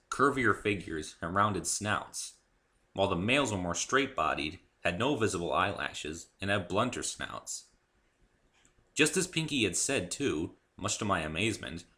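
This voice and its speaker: A man with a lowish, very bright and forceful voice reads a story aloud as an amateur narrator. His intonation is lively, and he leans deliberately on key words in a dramatic first-person telling.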